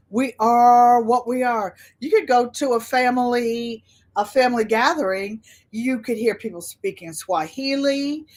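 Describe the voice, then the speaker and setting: A woman hosting a casual livestream chat in an unhurried, rambling way, drifting between her own talk and replies to viewers. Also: on the high side. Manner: unhurried, rambling, easily sidetracked. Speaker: livestream host, quilter.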